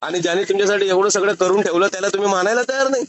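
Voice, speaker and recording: A man's voice: sits high, very fast. A man in an argumentative phone conversation, speaking insistently and challenging the other side with pointed rhetorical questions. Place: phone call. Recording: recorded phone call.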